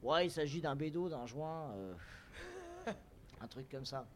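Man, fairly high pitch, casual, slangy, wry, radio guest. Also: slow, slight background noise. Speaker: musician guest on a live radio show. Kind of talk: casual joking chat.

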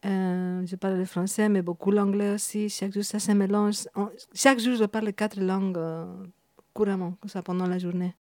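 A woman in her fifties from Spain, speaking with a Spanish accent, reflective and halting, with many restarts. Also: medium pitch, little pitch movement, mellow, no echo, studio quality. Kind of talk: reflective interview talk.

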